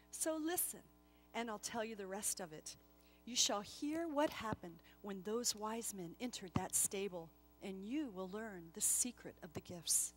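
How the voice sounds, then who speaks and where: A woman with a fairly high voice reads in a dramatic storytelling style. She is expressive and deliberate, addressing the listener directly as a storyteller would.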